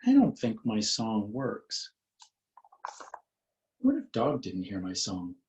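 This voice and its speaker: A man's voice: on the low side, wildly swooping pitch, slow pace, darkish tone, reverberant, slight background noise, exaggerated voice, low-quality recording. A man voicing an earnest cat who sings and frets in a picture-book reading. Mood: sad, worried. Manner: sighing, singsong meows. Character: earnest singing cat, sad then delighted.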